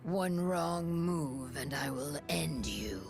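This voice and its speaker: A woman's voice: low voice, lively intonation, slow, vocal fry, faint music behind, exaggerated voice. A woman voicing a proud, fierce half-dragon warrior in a video game, stern, terse and defiant. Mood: threatening.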